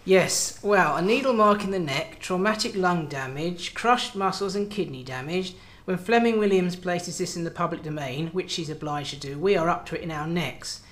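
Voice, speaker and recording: An actor plays a male intelligence boss in a radio thriller, furious and sardonic. His fairly high voice is biting and accusatory as he berates his subordinate. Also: moderate pace, fairly bright tone. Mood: angry, exasperated. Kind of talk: tense radio drama dialogue.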